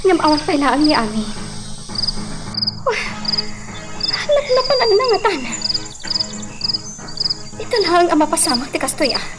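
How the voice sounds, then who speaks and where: A woman's voice: very high voice, sing-song, unhurried, long pauses, full character voice. A woman with an Ilocano accent playing a distressed, pleading woman in an audio drama. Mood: anguished. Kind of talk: Ilocano radio-style love drama acting. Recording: loud music under the voice.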